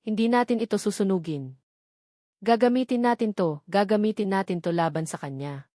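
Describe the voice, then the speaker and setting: Woman voicing a calm, steely single mother. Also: mid-range voice, normal speed, no echo, clean studio sound, close to an everyday voice. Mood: calm resolve. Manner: calm but weighty.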